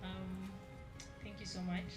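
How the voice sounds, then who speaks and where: A woman's voice: mid-range voice, little pitch movement, takes it slowly, over music. A young woman from central Uganda, a Luganda speaker, speaking candidly and reflectively as an artist answering audience questions at an exhibition.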